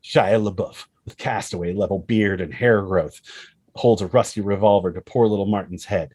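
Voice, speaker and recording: A man's voice: on the low side, moderate pace, darkish tone, breathy edge, no room sound. A man reading stage directions as dramatic scripted narration at a table read, in punchy phrases. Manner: punchy, present-tense action reading.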